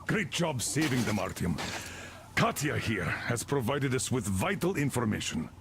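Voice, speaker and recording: A stern older officer from a game. His gravelly voice moves widely in pitch as he gives authoritative orders. There is faint noise behind him. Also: very animated. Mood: resolute. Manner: brisk, authoritative briefing. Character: stern older military commander, measured and authoritative. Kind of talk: video game voice acting.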